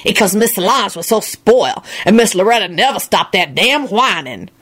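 A woman's voice: medium pitch, animated, moderate pace, breathy edge. A woman voicing a bossy, outspoken older cook who grumbles and lectures in folksy, ungrammatical speech. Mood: scolding. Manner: loud, folksy, ungrammatical talk with shrieks.

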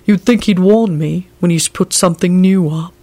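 A woman's voice: mid-range voice, unhurried, mellow, slightly rough, voice pushed up. A woman voicing a bald, bearded male castle servant in an audiobook, fussy and grave, with a grumbling, familiar manner. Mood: grumbling, indignant. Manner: grave, scolding and conspiratorial.

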